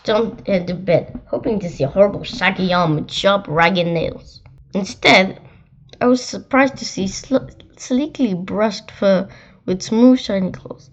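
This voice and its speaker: A woman storyteller reading a children's picture book aloud in an expressive, dramatic way. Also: mid-range voice, measured pace, dull audio.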